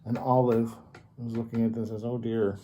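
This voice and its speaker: Man reading to children, very mellow and soft-edged. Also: medium pitch, little pitch movement, a little hiss or hum. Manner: teacherly, pauses to ask the listener simple questions. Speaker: storyteller, host of a children's story time. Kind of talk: read-aloud picture book for children with explanatory asides and questions.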